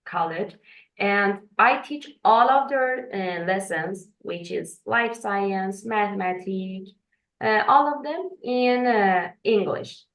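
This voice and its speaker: A woman's voice: medium pitch, slow, fairly bright tone. A woman, a Farsi speaker, who speaks English hesitantly with many fillers as an interview guest. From Iran. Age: 40s. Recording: echoey room.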